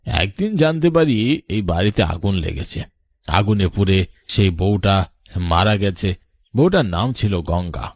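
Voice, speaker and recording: A man voicing a grave, knowing older village man in a horror cartoon, solemn and measured like a storyteller. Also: lowish voice, very animated, dry recording.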